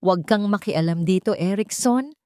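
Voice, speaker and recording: A woman's voice: mid-range voice, moderate pace, darkish tone, no room sound, studio quality, natural voice. A woman voicing a furious, domineering wealthy old man, stern and scolding, in an audio drama.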